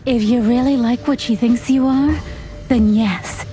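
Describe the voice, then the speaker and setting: An actress playing a poised, secretive young servant woman in a noir detective game, speaking in a measured, coy and evasive way. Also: on the high side, steady tone, dark tone, lightly acted. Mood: composed. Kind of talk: film-noir game voice acting.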